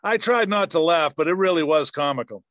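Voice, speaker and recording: A man's voice: on the high side, expressive, fairly quick, a bit bright, dry recording, studio quality. A man hosting a casual, conversational interview, asking questions and reminiscing. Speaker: host.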